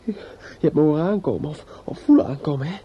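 A man acting a drunk, aggrieved judge's son in an old radio drama, stammering and brooding in bitter grief. The voice is very dark and mellow, on a dull recording with steady noise. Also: a little high, fairly quick, natural voice. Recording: muffled, noisy old radio play recording.

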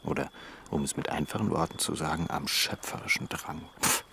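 An actor in a radio drama playing a proud, ambitious young Egyptian engineer, earnest and resentful, in intimate dialogue. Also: male voice, a bass voice, brisk, vocal fry, pushed and bright, a little hiss or hum, heavily acted. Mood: scornful. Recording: music behind the voice. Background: music.

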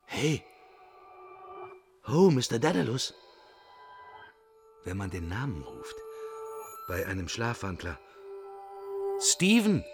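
A fatherly figure in a radio play, fairly low in pitch and pensive. He speaks slowly and haltingly, musing half to himself, with music clearly audible behind him. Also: huge pitch swings, exaggerated voice. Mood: urgent, calling. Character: fatherly, pensive man keeping watch over a sleeping companion. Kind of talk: literary radio drama acting.

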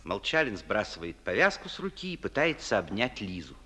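A man's voice: fairly low pitch, very animated, a little fast, sharp tone, breathy edge. A man reading stage directions as scripted narration.

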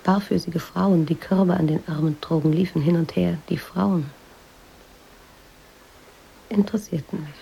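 An actress playing a reflective woman writer, narrating her memories in a measured, hushed, introspective way in a literary radio drama. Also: fairly low pitch, steady tone, vocal fry, breathy edge, heavily acted, rough recording. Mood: reflective, calm. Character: reflective American woman writer in 1950s Tangier, recalling.

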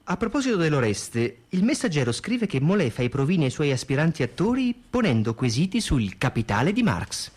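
Uomo che narra con tono espressivo e variato. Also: male voice, fairly high pitch, sing-song, brisk, hardly any pauses, darkish tone, breathy edge. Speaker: radio narrator and reader. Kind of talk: scripted dramatic narration for a radio theatre programme. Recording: music under the voice. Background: music.

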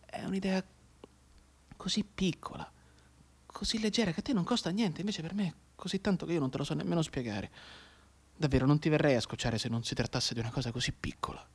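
Casting: bedridden, maimed soldier in radio drama; higher-pitched husky male voice, anguished, pleading, bitterly sardonic. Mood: quiet pleading. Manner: intense, declamatory, halting between hushed and cried-out delivery. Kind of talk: theatrical radio drama monologue.

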